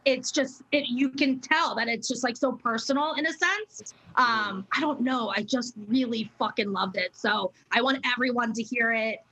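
A woman's voice: a little high, moderate pace, few pauses, bright, forceful tone, rough recording. A woman hosting a casual interview, effusive and chatty, swearing freely and filling with "like" and "um". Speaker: interview host.

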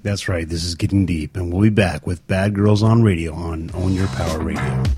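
A man's voice: deep voice, normal speed, over loud music. A man co-hosting a radio show, telling stories casually in an easygoing, dry manner. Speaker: radio co-host. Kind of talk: casual talk show storytelling.

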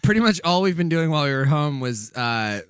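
A man co-hosting a podcast in casual banter, joking fast and slipping into mock voices for his bits. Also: a little high, expressive, clean tone, dry recording, studio quality. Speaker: podcast co-host.

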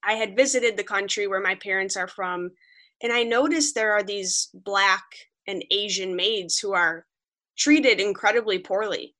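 Young woman guest, a reporter from upstate New York; earnest and vivid, a bright, forceful voice. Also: medium pitch, unhurried. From Western New York. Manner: earnest, vivid storytelling, quoting others. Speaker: freelance journalist guest. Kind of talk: podcast interview, storytelling.

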